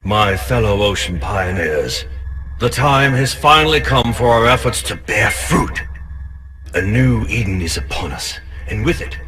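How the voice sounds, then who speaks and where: A man's voice: fairly low pitch, expressive, a little slow, sharp tone, a little hiss or hum. An actor playing a cold, commanding male villain who leads an ocean cult. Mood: grandiose. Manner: commanding, grandiose speeches.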